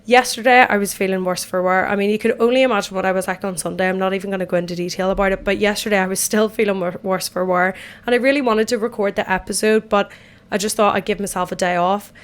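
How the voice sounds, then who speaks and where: A young Irish woman hosts her own podcast, chatting casually from an empty bathtub at home. Her voice is a bit croaky from a night out, and she rambles along in a self-deprecating way. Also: mid-range voice, fairly even intonation, fast talker. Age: 20s. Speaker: podcast host. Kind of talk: casual solo podcast chat.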